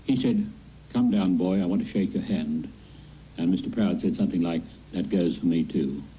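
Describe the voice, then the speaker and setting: A man's voice: low voice, normal speed, warm tone, slight background noise, rough recording. An Australian-accented man recounting a memory on an old archive recording.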